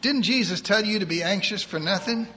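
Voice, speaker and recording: A woman's voice: mid-range voice, fairly quick, fairly bright tone, slight background noise, pitched above the performer's own voice, close to an everyday voice. A man voicing a bossy, devout older woman, in a preachy sing-song manner. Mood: bossy chirpy.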